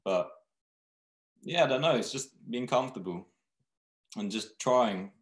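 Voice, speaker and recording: A young man who founded a clothing brand, talking in a relaxed, rambling way with many restarts on a video call from a small room. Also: mid-range voice, a little slow. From Thailand, raised in England. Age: young adult. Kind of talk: casual video-call interview. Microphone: webcam video call. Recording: echoey room.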